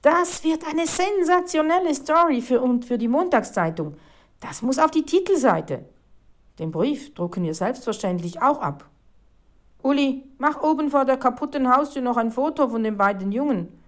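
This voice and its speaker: A woman voicing an excitable, dramatic female newspaper reporter in a storybook reading. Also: mid-range voice, a little slow, natural voice. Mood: excited, bossy. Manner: exclaiming, dramatic.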